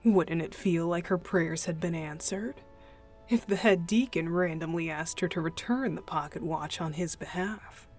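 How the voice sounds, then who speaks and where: A woman voicing a playful, mischievous young male deacon in an unhurried, teasing ASMR roleplay style. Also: lowish voice, faint music behind, lightly acted. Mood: sly, musing. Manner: teasing, unhurried, chuckling, breathy asides.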